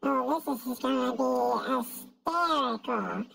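Squeaky cartoon chipmunk through a voice changer. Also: male voice, normal speed, creaky, pushed and bright, husky, full character voice, low-quality recording. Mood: giddy. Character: squeaky cartoon chipmunk, chirpy little male. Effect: high-pitched voice changer.

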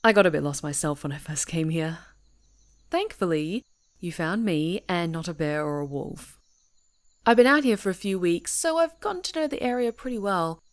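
A friendly young woman camping out alone on a retreat, as a woman plays her in an audio roleplay, chatty and teasing, laughing easily as she talks. Her voice sits a little low for a woman, with faint outdoor noise behind it. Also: expressive, moderate pace, natural voice. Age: young adult. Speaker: ASMR roleplay performer.

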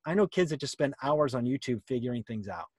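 A man's voice: medium pitch, lively intonation, fast, darkish tone, breathy edge, dry recording, clean studio sound. A middle-aged American man answering questions in a conversational, explanatory way on a video call. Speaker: workshop presenter answering questions. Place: indoor room, on a video call.

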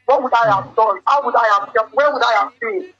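A young woman in an emotional personal phone conversation, speaking haltingly and often repeating her words. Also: fairly high pitch, animated, a bit bright, breathy edge. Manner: halting, repetitive phrasing. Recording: band-limited leaked phone call with music. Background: music.

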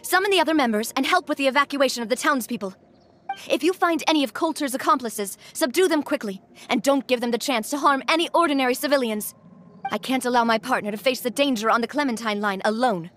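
A woman's voice: high voice, fast, a bit bright, slight background noise. A woman playing an earnest, resolute young female leader in a video game, speaking in a heartfelt, determined way. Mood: commanding.

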